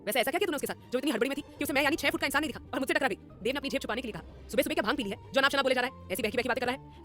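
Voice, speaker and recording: A brash young man ribbing his friend without mercy, quick and loud, as a woman narrator plays him. His jabs tumble out at speed. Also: high-pitched. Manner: mocking, brash. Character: young man, blunt teasing best friend.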